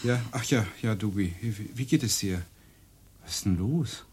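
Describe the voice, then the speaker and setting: A man's voice: on the low side, moderate pace, darkish tone, husky. A man acting a flustered, wry university lecturer in a radio play, stammering and conversational, with theatrical delivery. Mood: wry, teasing.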